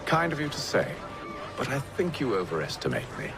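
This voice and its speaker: An actor playing a reserved, authoritative colonial gentleman in a video game, speaking formally and curtly. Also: medium pitch, wildly swooping pitch, fairly quick, vocal fry. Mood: composed, probing. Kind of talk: video game cutscene acting.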